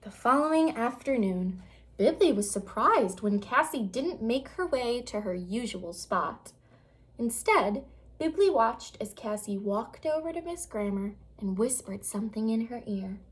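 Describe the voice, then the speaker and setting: A woman reading a children's picture book aloud with expressive, dramatic storytelling phrasing. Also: a little high, measured pace.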